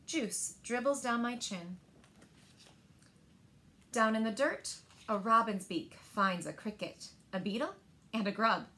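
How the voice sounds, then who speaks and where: A woman in her thirties reads picture books aloud to young children with expressive, deliberate phrasing, recorded indoors in a living room. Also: on the high side. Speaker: storyteller at a library story time.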